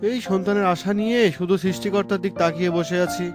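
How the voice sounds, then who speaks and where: A man's voice: high voice, steady tone, quick pace, close to an everyday voice. A man voicing an earnest, humble village husband in a cartoon, speaking politely and pleadingly. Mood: respectful, hopeful. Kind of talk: cartoon voice acting. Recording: music behind the voice.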